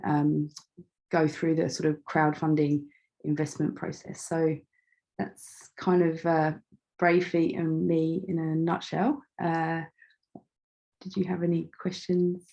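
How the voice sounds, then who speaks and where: A middle-aged woman speaks in a fairly low, slightly croaky voice. She is chatty and informal, modest about herself, and often pauses to find the next phrase as she presents as a guest on an online call. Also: darkish tone. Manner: chatty, self-deprecating, hesitant phrasing. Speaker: start-up founder and guest speaker. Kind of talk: informal online talk about own background.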